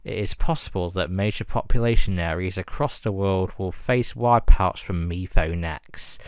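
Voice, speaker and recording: A man reading a scripted emergency broadcast announcement in a formal, measured and grave manner. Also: sits low, clean tone, no echo.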